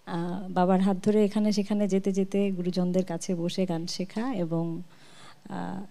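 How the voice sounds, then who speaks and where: Soft-spoken young woman, mellow voice. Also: lowish voice, little pitch movement, unhurried, vocal fry. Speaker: singer guest. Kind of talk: TV talk show interview.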